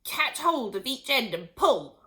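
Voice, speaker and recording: A woman voicing a gleeful, callous middle-aged farmer who booms out his lines with delight. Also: big pitch swings, unhurried, bright, forceful tone, full character voice. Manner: gleeful, booming.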